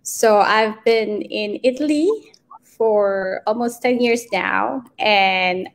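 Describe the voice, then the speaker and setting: A woman from the Philippines, a guest on a live video talk, introducing herself calmly. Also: mid-range voice, slow. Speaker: guest, business owner. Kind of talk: casual live video chat. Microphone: video call.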